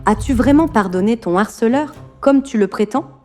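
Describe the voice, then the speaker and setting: A woman's voice: fairly high pitch, lively intonation, moderate pace, warm tone, faint music behind, close to an everyday voice. A synthetic woman's voice playing a cold female intelligence-agency AI interrogator, formal and commanding, with a slight British accent. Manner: formal, clipped, commanding announcements.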